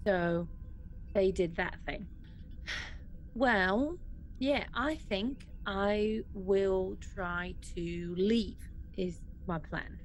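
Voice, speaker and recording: A woman's voice: medium pitch, drawn-out words, soft background music, poor audio. A woman playing a tabletop game, chatting casually about her moves in a playful, wry way. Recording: clear background noise.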